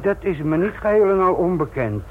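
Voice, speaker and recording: An actor playing a sardonic, gruff older police instructor in a Dutch radio play, patronising and deliberate in manner. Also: male voice, high-pitched, animated, a little hiss or hum. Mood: sardonic, brisk. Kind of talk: Dutch radio drama acting. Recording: thin, narrow-band old radio recording.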